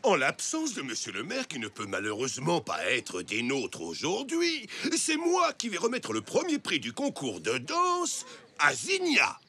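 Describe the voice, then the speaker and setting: A man's voice: fairly high pitch, wildly swooping pitch, unhurried, a little hiss or hum, full character voice. A man voicing a showy zebra announcer at a dance contest in a children's cartoon, with a grandiose, theatrical delivery. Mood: ceremonious. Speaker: master of ceremonies. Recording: loud music under the voice.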